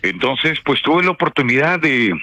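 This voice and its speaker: Bright-voiced northern Mexican man telling stories. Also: on the high side, moderate pace, no room sound, lo-fi sound. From Piedras Negras, Mexico. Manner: colloquial, expressive storyteller with slang. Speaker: radio broadcaster, interview guest. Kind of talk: casual anecdotal interview.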